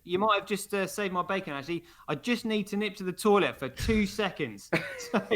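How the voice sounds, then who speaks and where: A man's voice: sits high, expressive, brisk, a bit bright. A man co-hosting a livestream, rambling with many fillers and restarts in casual chat. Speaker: livestream co-host.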